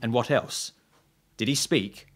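A man playing an unflappable, long-suffering sidekick in an audio drama, with a dry, wry, measured manner. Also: mid-range voice. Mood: curious, businesslike.

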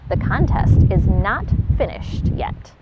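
A woman voicing a brisk penguin who gives instructions for the contest. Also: mid-range voice, big pitch swings, heavy background noise. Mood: instructing. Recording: dull lo-fi recording.

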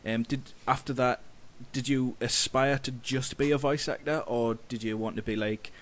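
A man's voice: mid-range voice, fairly even intonation, normal speed, slight background noise. A man hosting a casual interview podcast, hesitant and often repeating words as he asks questions. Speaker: podcast host.

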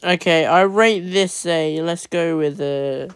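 A man's voice: a little high, clear, resonant tone, no room sound. Upbeat man commenting on a building game. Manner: upbeat, chatty, quick asides. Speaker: host playing a building game with family.

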